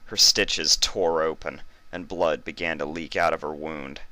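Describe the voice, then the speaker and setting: A man's voice: very deep voice, fairly even intonation, measured pace, sharp tone, no echo. A man giving a scripted narration of a fan story.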